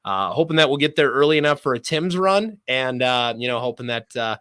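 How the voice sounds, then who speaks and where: Man, sports announcer, podcast guest, bright forceful tone, middle pitch, dry recording, talkative, emphatic. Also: animated, a little fast, smooth, dry recording. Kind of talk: conversational sports podcast interview.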